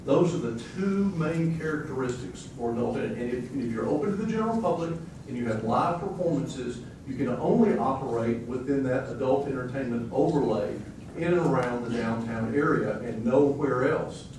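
A man speaks from a podium, laying out zoning rules in a measured, procedural way. He is heard from far off in a large echoey room, with noise around him. The sound comes from a phone in the audience. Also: medium pitch, animated. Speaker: official at the podium. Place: large community meeting room, indoors. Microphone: phone camera in the audience. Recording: echoey hall, distant phone mic.

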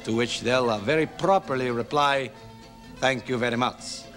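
A man's voice: fairly high pitch, animated, normal speed, over loud music, lightly acted. An actor playing a jovial Greek male instructor on a morning TV show, speaking with a put-on Greek accent and a beaming, sing-song manner in a 1980s sketch comedy. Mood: chummy. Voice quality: beaming, sing-song, leans hard on catchphrases.

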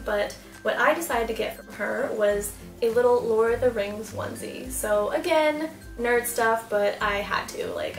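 A woman's voice: on the high side, roomy sound, distant mic. A young woman, a mom vlogger, gushing casually about baby clothes while sitting indoors in front of a camera. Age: young adult. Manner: bubbly, gushing, lots of "super" and "like". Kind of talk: casual product haul. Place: small room indoors, seated before louvered doors.

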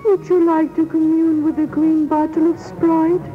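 A woman's voice: very high-pitched, level tone, measured pace. A woman playing a serene, airy yoga guru in a comic radio commercial. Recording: tinny old radio broadcast, music behind.

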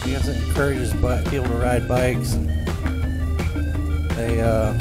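A man vlogging casually as he rides a motorcycle, thinking aloud in an unhurried, off-the-cuff way into a helmet mic. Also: medium pitch, fairly even intonation. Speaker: motovlogger. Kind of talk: casual rambling chat while riding a motorcycle. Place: outdoors, riding a motorcycle in road traffic. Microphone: microphone inside a helmet. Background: music.

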